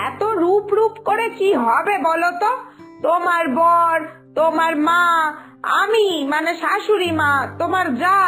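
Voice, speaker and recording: Woman playing high-voiced, scolding cartoon mother-in-law. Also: a bit bright. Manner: exasperated, firm, motherly urging. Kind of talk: animated moral story dialogue.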